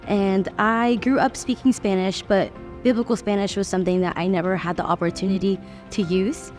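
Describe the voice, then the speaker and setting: A woman's voice: medium pitch, fairly even intonation, normal speed, darkish tone, soft background music. A young woman in her twenties giving an earnest, heartfelt testimony for a tribute video. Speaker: student interviewee.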